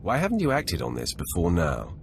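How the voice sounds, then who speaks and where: An actor playing a sardonic, hardened Sith warrior in a video game, dry, wry and confident. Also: male voice, low voice, huge pitch swings, a little fast, creaky, heavily acted. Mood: impatient, approving. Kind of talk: video game protagonist acting. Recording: echoey, reverberant, faintly noisy.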